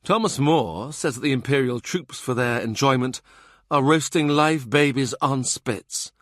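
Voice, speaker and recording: Measured male audiobook narrator, dry sound. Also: medium pitch, a bit bright, dry recording. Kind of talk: scripted narration of a historical novel.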